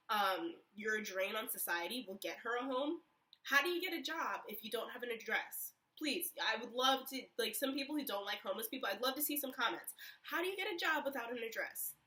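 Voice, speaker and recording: Chatty young woman with a bright voice. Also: on the high side, distant mic. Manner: chatty, rambling, many fillers. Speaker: vlogger. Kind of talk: casual storytime vlog.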